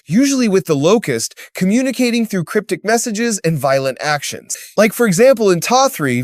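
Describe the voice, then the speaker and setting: A man's voice: sits high, no echo, clean studio sound. A man reading scripted explainer narration in a casual, wry way with joking asides.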